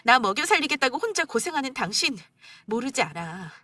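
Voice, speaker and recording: A woman voicing a hurt wife who argues back, tearful and indignant, her voice breaking high. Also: very animated, normal speed, a bit bright, dry recording. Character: hurt young wife pleading and arguing back.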